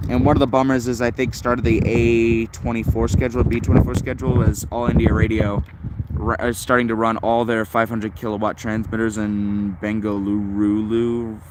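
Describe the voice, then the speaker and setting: Low-voiced man rambling over steady noise. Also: moderate pace. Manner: rambling, thinking aloud. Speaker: shortwave radio livestream host. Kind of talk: casual unscripted hobbyist commentary while answering chat.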